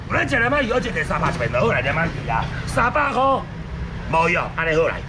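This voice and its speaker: A man's voice: fairly high pitch, very animated, quick pace. A middle-aged man with a Taiwanese accent hawking seafood at a market stall, insistent and repetitive in his sales patter. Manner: insistent, repetitive, loud calling out. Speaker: seafood auction street vendor. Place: open-air market stall on a street. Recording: noisy outdoor street, traffic and ambience. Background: market and vehicle noise.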